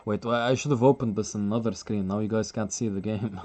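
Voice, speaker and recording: A man's voice: lowish voice, fairly even intonation, quick pace, darkish tone, slight background noise. A man streaming live chess commentary in a casual way, chuckling often and answering his chat.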